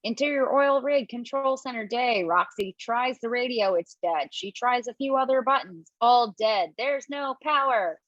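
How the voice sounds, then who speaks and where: A woman narrating stage directions at a table read from home, lively and expressive, with small slips. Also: on the high side, normal speed, hardly any pauses, no room sound. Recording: dull lo-fi, dry.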